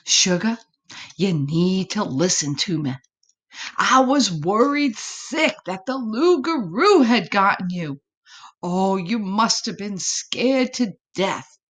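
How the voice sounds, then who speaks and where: A woman's voice: medium pitch, lively intonation, takes it slowly. A woman voicing a doting, fretful possum mother, fussing and affectionately scolding in a children's storybook. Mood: worried, relieved.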